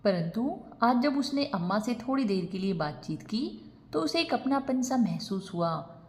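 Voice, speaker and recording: A woman narrating a scripted moral story in an expressive, sentimental way. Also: a little high, measured pace. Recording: long reverb on the voice.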